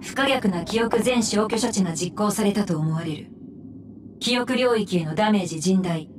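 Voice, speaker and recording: A clinical, emotionless woman agent in an anime game, played by an actress. She sounds set back from the mic, mid-pitched, amid clear background noise. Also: normal speed, distant mic, natural voice. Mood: detached. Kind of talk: Japanese anime game voice acting.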